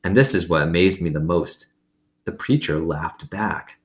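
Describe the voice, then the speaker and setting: A man's voice: low-pitched, measured pace. A man reading a storybook aloud expressively as host, with simple, conversational asides.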